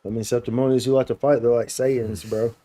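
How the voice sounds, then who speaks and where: A man's voice: mid-range voice, level tone, brisk, mellow. A man live streaming games, reacting casually with slangy, playful commentary. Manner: slangy, playful, echoes game lines.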